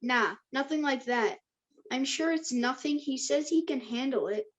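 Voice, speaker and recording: A woman's voice: sits high, moderate pace, highs cut off, natural voice. A woman reading the part of an evasive, tinkering kid boy in an amateur table read of a drama. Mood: casual, evasive.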